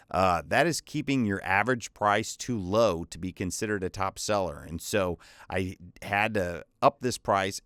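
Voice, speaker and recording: A man with a deep bass voice, pitched on the low side, shares freelancing advice in a casual, loose manner with the odd filler and restart. The recording is dry and close, with no room sound. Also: clear, resonant tone, no room sound. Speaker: voiceover artist and freelancer teaching viewers. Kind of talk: tutorial with screen walkthrough.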